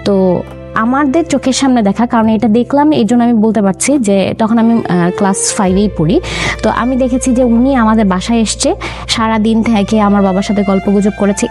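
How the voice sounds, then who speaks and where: A woman's voice: mid-range voice, a little mellow. A young woman in an interview, earnestly recounting her family's story and quoting her parents' words as she goes. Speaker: interview guest. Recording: music bed under the voice.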